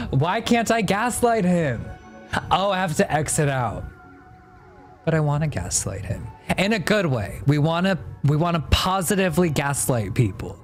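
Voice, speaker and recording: A man livestreaming a game, chatting casually and reading dialogue aloud in a playful, expressive, joking way. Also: a little high, measured pace, faint music behind.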